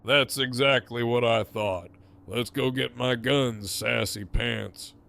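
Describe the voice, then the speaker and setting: Man as menacing male gang leader for audio drama: unhurried, gravelly, mocking and profane. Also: medium pitch, fairly bright tone. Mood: smug threatening. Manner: taunting, theatrical, drawn-out delivery.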